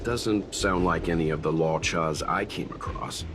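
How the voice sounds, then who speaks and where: A man voicing a calm young man who comments dryly in a game cutscene. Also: low-pitched, measured pace, background noise. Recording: game audio over music.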